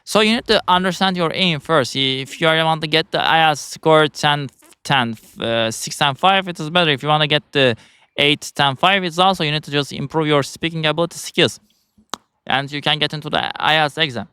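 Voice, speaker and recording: A man's voice: a little high, brisk, bright, forceful tone, clean tone, no room sound. Man with a Turkish accent, rambling. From Turkey. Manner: rambling, many fillers and restarts. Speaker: vlogger. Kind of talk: casual talk to camera.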